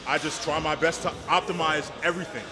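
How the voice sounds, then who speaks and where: A twenty-five-year-old man and powerlifter chatting casually in a gym between lifts, loud and excited. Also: fairly high pitch, fast talker. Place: large indoor gym. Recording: music playing behind. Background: gym music.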